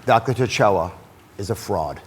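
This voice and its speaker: A man's voice: lowish voice, animated, normal speed, noisy background. A man hosting a TV health talk show, asking questions and narrating segments in an upbeat announcer manner. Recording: studio with music and crowd behind.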